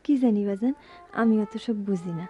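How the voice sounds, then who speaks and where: An actress playing a caring, self-blaming young daughter in a radio drama, speaking colloquial rural Bangladeshi Bengali. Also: female voice, medium pitch, fairly quick, dark tone, soft background music, natural voice. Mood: plaintive.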